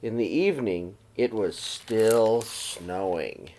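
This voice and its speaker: A man reading a children's picture book aloud like a teacher, with expressive, dramatized storytelling delivery. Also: fairly low pitch, slow. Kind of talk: read-aloud storybook narration.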